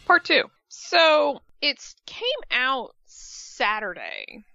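A woman co-host of a talk radio show, chatty and animated. Her voice is bright and swings widely in pitch. The studio sound is dry and close. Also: drawn-out words, vocal fry, no echo, muffled recording, faint music behind. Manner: animated, chatty, insists her voice doesn't go low. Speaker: podcast co-host. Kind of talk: casual wrestling talk radio.

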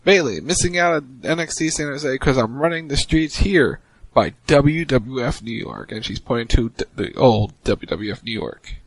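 A man's voice: mid-range voice, normal speed, husky, no echo, low-quality recording. Easygoing guy reading tweets with jokey asides, dry mic, mid voice. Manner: relaxed, joking asides between quotes. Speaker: podcast co-host. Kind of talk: casual chat reading tweets aloud.

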